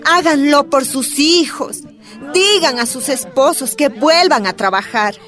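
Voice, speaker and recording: Phony charity woman, condescending, sugary, high pitch, radio drama, music behind. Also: lively intonation, unhurried, a bit bright. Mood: sugary coaxing. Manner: coaxing, patronising.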